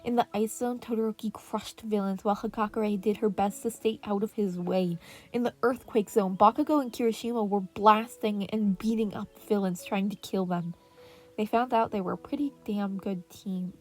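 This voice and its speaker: A woman's voice: mid-range voice, steady tone, normal speed. A woman reading scripted fan-fiction narration as a podfic narrator, with relaxing piano music behind her. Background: relaxing piano and birdsong music.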